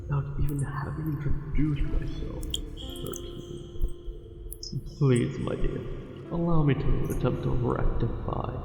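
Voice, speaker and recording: A man's voice: mid-range voice, fairly even intonation, takes it slowly, creaky. An actor playing a smitten angler merman in an ASMR roleplay, speaking formally and hesitantly with ornate, tender courtesy. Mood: apologetic, hurt. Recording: cavernous echo with long reverb, music behind. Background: music.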